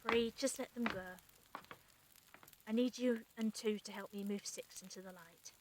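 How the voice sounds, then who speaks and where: A woman's voice: on the high side, fairly even intonation, moderate pace, slight background noise, natural voice, poor audio. A woman acting a stern, clinical medic with formal, precise speech in an audio drama. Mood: stern, cold.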